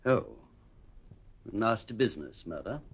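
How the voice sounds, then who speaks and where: Zijdezachte, sluwe heer. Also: male voice, lowish voice, sing-song, measured pace, husky, heavily acted. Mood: silky. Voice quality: soft, snaky, silky voice. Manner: polished, condescending. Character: smooth, sinister gentleman racehorse owner. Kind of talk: 1950s radio drama acting.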